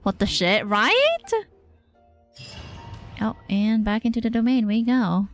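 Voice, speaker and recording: A woman's voice: a little high, very animated, takes it slowly. A woman livestreamer giving casual, slangy game commentary and reading dialogue aloud. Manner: informal, slangy. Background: soft game music.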